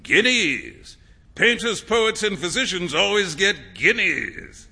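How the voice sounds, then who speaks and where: Guy reading as a loud showy painter in a storybook, big swoopy lively voice, bit husky. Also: sits high, slow, big-room sound, pitched above the performer's own voice, exaggerated voice. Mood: boisterous. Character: flamboyant loud male portrait painter, witty and brash.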